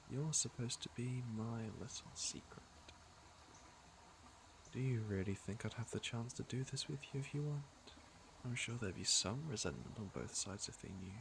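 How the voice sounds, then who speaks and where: A man's voice: medium pitch, background noise, close to an everyday voice. A man playing a teasing, flirtatious fantasy villain with a caring side, in an intimate ASMR roleplay. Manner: smooth-talking, teasing, intimate. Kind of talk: ASMR roleplay acting, intimate second-person address.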